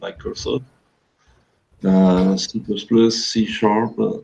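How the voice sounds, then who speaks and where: French guy in his 50s, low gravelly voice, super slow with lots of uhs, kinda muffled lo-fi audio. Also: flat delivery, darkish tone. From France. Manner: halting, many "uh" pauses while searching for words. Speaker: interview guest, programmer. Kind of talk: casual interview over a call.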